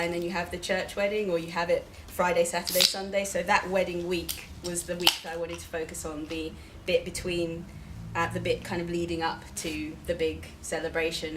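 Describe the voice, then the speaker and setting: A woman's voice: lowish voice, noisy background. A woman children's book author answering questions at a live event, chatty and friendly, with lots of fillers as she explains. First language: Igbo. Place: event venue with an audience.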